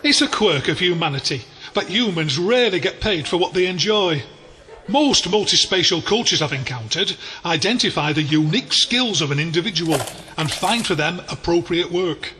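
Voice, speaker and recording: A man playing a wry, erudite alien storyteller, narrating a scripted audio drama in a measured, deadpan way. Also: sits high, slight background noise. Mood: dry.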